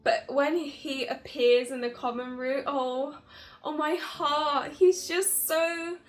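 A woman in her twenties giving a casual, chatty game review to camera in an expressive way. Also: high voice, takes it slowly. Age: 20s. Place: small bedroom, indoors. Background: background music.

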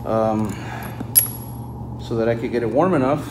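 Loud noise surrounds this man's medium-pitched voice. He talks casually, like a technician filming his own job on a handheld camera. His delivery is informal and explanatory, addressed straight to the guys watching. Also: unhurried. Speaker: refrigeration technician, vlog host. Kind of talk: casual hands-on work vlog, talking while working. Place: on site at work, inside walk-in freezer and outdoors at condensing unit.

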